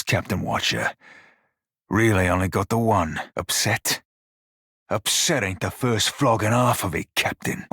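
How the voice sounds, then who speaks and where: Game pirate shipmate: rough, husky, close dry sound, plain-spoken, wry and salty-tongued. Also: male voice, medium pitch, fairly bright tone, no room sound, exaggerated voice. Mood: stern, indignant. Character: gruff, foul-mouthed furry blue male pirate shipmate. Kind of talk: video game character dialogue.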